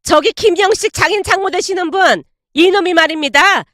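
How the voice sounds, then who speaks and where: A high, loud voice of an enraged middle-aged woman, acted by a female narrator. She scolds with open contempt, as in a storytelling drama. The sound is dry and close. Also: brisk, dry recording. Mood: furious, scornful. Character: furious middle-aged former mother-in-law, loud and scornful.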